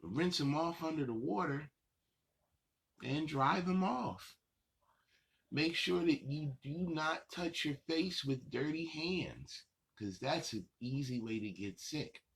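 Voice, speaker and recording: A gravelly-voiced man hosts a story time for little kids. He takes his time, speaking slowly in simple, repeated phrases. His delivery is encouraging and reassuring, with a husky rasp. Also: on the high side, lively intonation, a bit bright. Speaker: host of a children's story time show. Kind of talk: addressing young children directly.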